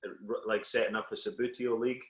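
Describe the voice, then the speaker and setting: A Scottish man whose accent has softened a little, a football coach talking as a guest on a video call in a relaxed, reflective way with many fillers. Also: fairly low pitch, fast talker, reverberant. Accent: Scottish, slightly Americanised. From Scotland. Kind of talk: casual interview on a call.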